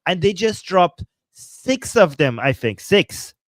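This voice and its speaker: A man with a Persian accent, talking as host of a casual political livestream, excited and rambling, with many fillers and restarts. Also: high-pitched, slow, no room sound.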